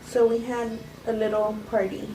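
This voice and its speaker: A woman reads as a self-justifying woman who calmly confesses to poisoning. Her delivery is halting, like a cold script read. She sounds distant in an echoey room, with clear noise behind her. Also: on the high side, lightly acted. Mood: matter-of-fact. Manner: halting, stumbling amateur reading. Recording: echoey room, distant mic, noisy background.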